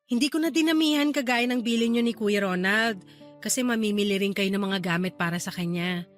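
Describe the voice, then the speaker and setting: A woman playing a caring, gentle aunt in a radio drama, with an affectionate, reassuring manner. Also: medium pitch, fairly quick, soft background music, close to an everyday voice. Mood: matter-of-fact. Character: caring, gentle aunt and small online seller. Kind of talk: Tagalog radio drama acting.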